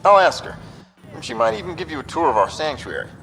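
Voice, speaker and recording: An actor playing an eager, smug activist hacker in a video game, chatty and emphatic. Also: male voice, on the high side, very animated, fast talker, a bit bright, a little hiss or hum, low-quality recording. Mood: upbeat. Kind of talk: video game dialogue acting.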